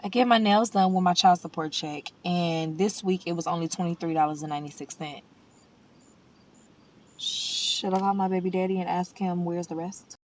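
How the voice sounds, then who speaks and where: A man's voice: sits high, steady tone, normal speed, natural voice. A brash mother brags about her child support, as a man puts her on. The voice sounds distant from the mic. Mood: mocking.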